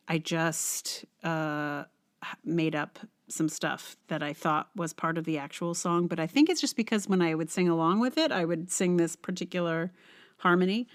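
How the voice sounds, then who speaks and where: A woman's voice: fairly low pitch, a bit bright, clean tone. A woman podcast host chatting casually in a rambling, conversational way.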